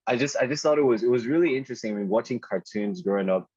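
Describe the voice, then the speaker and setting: A young man from Nigeria, a podcast guest talking in a relaxed, chatty way, often saying "dude" and restarting his sentences. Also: mid-range voice, very fast, slightly rough, no room sound. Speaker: podcast guest, product designer. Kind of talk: casual conversational interview. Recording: dull, slightly muffled podcast audio.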